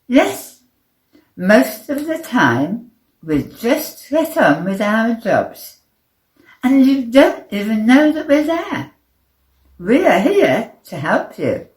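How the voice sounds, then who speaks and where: A woman voicing a tiny, brisk and bossy male captain in a children's story, commanding yet reassuring. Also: fairly high pitch, big pitch swings, darkish tone, echoey room, voice pushed up.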